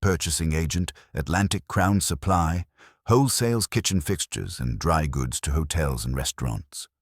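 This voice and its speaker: A man voicing a breezy, wry newspaperman in a detective audiobook, brisk and wisecracking. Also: very deep voice, animated, dry recording, clean studio sound, heavily acted.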